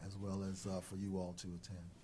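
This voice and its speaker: A man's voice: deep voice, completely flat delivery, fairly quick, dark tone, slight background noise. A man giving a town manager's report at a board meeting, hesitating with frequent ums. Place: council chamber.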